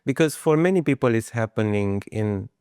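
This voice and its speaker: A man hosts an interview in a slightly low, clear and smooth voice. He is a non-native speaker who frames long conversational questions for his guest. The sound is dry, with little room in it. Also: animated, normal speed, darkish tone, dry recording. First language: not English. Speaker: podcast host, interviewer.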